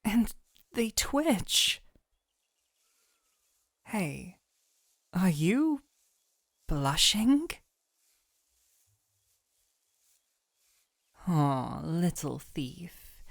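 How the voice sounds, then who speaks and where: A woman playing a gentle, teasing doctor in an intimate fantasy audio roleplay, speaking softly and affectionately, close to the microphone. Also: low voice, dry recording, full character voice. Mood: playful teasing. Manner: soft, breathy near-whispers, affectionate teasing.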